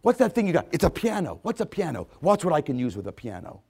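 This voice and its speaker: High-voiced preacher, emphatic and dramatic. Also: male voice, wildly swooping pitch, fast, gravelly, breathy edge. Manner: emphatic, repeats phrases for effect; swings between loud exclamations and hushed asides. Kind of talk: sermon reading and expounding scripture.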